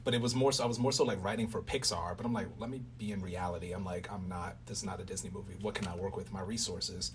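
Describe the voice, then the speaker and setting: Male, low voice, informal conversational interview, distant microphone, light background noise. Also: fairly even intonation, fast talker, hardly any pauses. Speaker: interviewer and radio play writer. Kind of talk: casual impromptu interview chat. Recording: noisy room, distant mic.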